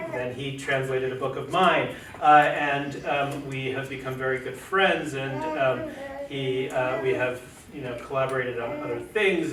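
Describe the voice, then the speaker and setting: A man talks casually on a panel, halting often and circling back with fillers as he thinks aloud. He sits away from the mic in an echoey lecture room. A steady hiss of noise sits under his voice. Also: medium pitch, distant mic. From United States, family from Chile. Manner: hesitant, many fillers and restarts. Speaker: poet and translator on a panel.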